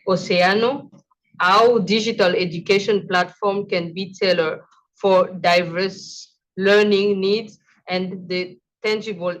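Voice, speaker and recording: A woman moderating a panel, asking questions slowly and carefully, with some non-native grammar. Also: mid-range voice. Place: conference side event. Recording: dull lo-fi audio.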